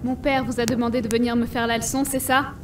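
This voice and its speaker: Girl doing a high pitched bossy paranoid cartoon princess, contemptuous, kinda far from the mic. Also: level tone, quick pace, slight background noise, close to an everyday voice. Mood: suspicious, haughty. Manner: commanding, contemptuous. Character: imperious, paranoid teenage princess, cruel and unstable. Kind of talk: animated series fan dub.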